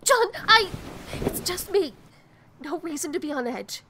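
A woman's voice: extremely high pitch, lively intonation, measured pace, noisy background. A woman playing an anxious, remorseful woman in an audio drama, hesitant and pleading. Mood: nervous, hushed.

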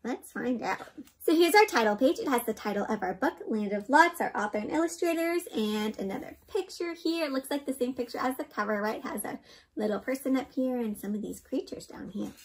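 A young woman reading a picture book aloud to children in an expressive, interactive way, often asking the listener questions. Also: a little high, brisk. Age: young adult.

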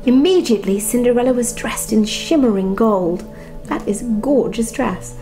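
A woman reading a bedtime storybook softly and expressively, with chatty asides about the pictures. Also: a little high, moderate pace. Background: music.

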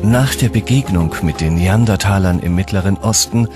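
A man reading scripted documentary narration in a measured, serious way. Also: low-pitched. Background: background music.

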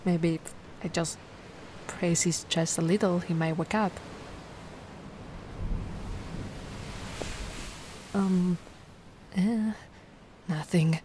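A woman from Italy voicing a cute, kindly, anxious giant mermaid in an ASMR roleplay, flustered and softly cooing. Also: lowish voice, fairly even intonation, takes it slowly, a little hiss or hum. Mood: worried.

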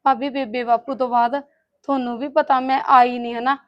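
A woman's voice: a little high, flat delivery, normal speed, dull audio, lightly acted. A woman playing a meek married younger sister, pleading and conciliatory, in a family drama. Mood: hurt, pleading. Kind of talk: Punjabi domestic drama dialogue.

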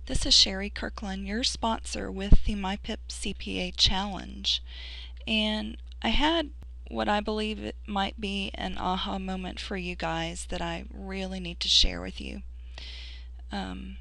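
A woman's voice: medium pitch, fairly even intonation, a little slow, vocal fry, pushed and bright, dry recording, poor audio. A woman who has lost her voice, giving a casual screen-recorded tutorial as a sponsor, earnest and encouraging with frequent fillers and restarts. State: losing her voice.

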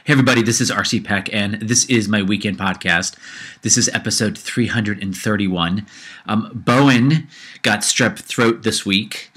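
A man's voice: lowish voice, moderate pace, a bit bright, slightly rough. A man hosting his own podcast, ranting with rising heat and a slight cold in his voice, often repeating himself. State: low-grade cold. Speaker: podcast host. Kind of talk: casual solo podcast rant.

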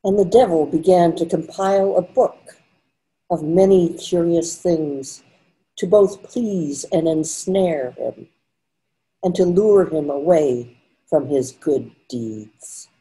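Older woman, story narrator, scripted, measured, unhurried, deeply mellow tone. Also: low-quality recording. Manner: measured read-aloud delivery. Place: indoors at home, video call.

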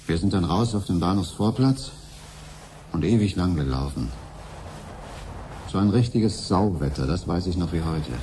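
An actor playing an ordinary, curious but hesitant husband in conversational 1970s radio drama style. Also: male voice, sits low, lively intonation, moderate pace, long pauses. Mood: defensive, recounting. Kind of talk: 1970s German radio play acting. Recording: noisy 1970s radio recording, hum.

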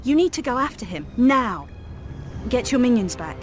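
A woman's voice: a little high, very animated, moderate pace, faint music behind. An actress playing a defiant, clever young woman in a video game, speaking with urgent resolve. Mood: urgent, determined. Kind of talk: video game cutscene acting.

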